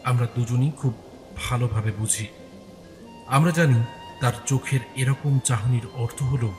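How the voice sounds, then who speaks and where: A man giving dramatic scripted first-person narration for an audio story, in deliberate, suspenseful phrases. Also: mid-range voice.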